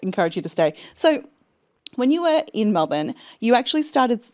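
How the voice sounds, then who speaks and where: A woman's voice: on the high side, sing-song, normal speed, a little mellow. A woman hosting an interview podcast, introducing her guest and asking encouraging questions. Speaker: podcast host. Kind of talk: hosted interview.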